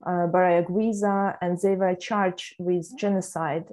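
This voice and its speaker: A woman's voice: on the low side, fairly even intonation, unhurried, a little mellow. A woman hosting an online panel discussion, speaking hesitantly with many fillers. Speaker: host.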